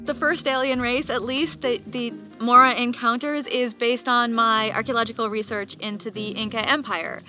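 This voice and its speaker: A woman's voice: a little high, steady tone, normal speed, hardly any pauses, sharp tone. A woman author talking conversationally and earnestly about her own work, articulate in her phrasing. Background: background music.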